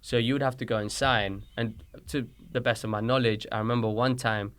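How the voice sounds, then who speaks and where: A man's voice: medium pitch, fairly quick, smooth. A man in his thirties from Colombia, raised in London, with a British accent, telling stories as an interview guest and acting out quoted dialogue. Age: 30s. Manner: animated storyteller, acts out quoted dialogue. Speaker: guest, soccer coach. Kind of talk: casual interview chat.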